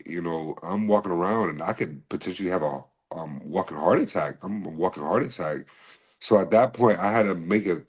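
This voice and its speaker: A man from Massachusetts speaking in a very deep, low voice as a podcast guest. He answers politely and calls the host "sir". His replies come in short, halting bursts with plenty of fillers. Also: husky. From Massachusetts, USA. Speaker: podcast guest, slap fighter and bodyguard. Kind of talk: casual interview answers.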